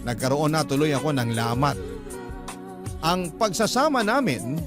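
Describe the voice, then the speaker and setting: A man narrating a listener's letter in a calm, clear, measured radio-storytelling voice, pitched a little high for a man. Instrumental music plays clearly beneath the narration. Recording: narration over background music.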